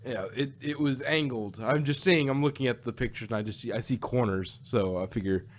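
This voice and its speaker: A man co-hosting a casual fan discussion, talking in halting phrases with a joking bent. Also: mid-range voice. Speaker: podcast co-host.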